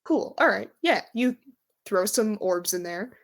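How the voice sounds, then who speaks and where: A woman's voice: mid-range voice, lively intonation, unhurried. A woman running a tabletop roleplaying game, improvising narration in a conversational, slightly hesitant manner, feeling her way through the scene. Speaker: game master.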